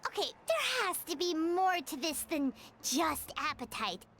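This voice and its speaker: A woman's voice: extremely high pitch, very animated, measured pace, heavy background noise, exaggerated voice. A woman voicing a tiny, dramatic, food-loving fairy companion who talks about herself in the third person, in a game cutscene. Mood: curious. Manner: third-person self-talk, whiny and theatrical.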